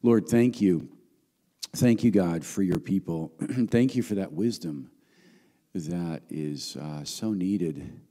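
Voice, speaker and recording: A middle-aged visiting pastor with a handheld mic, his voice going hoarse, speaking earnestly with many fillers. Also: low-pitched, slow pace, long reverb tail. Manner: warm, earnest greetings and prayer with frequent fillers. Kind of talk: sermon. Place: large church hall, on stage. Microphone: handheld microphone.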